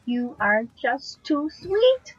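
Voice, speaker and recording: A woman playing a sweet, playful young girlfriend from Canada in an audio drama, with a breathy, husky voice and a gently teasing manner. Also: sits high, very animated, drawn-out words, no echo, dull audio, exaggerated voice. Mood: charmed, playful.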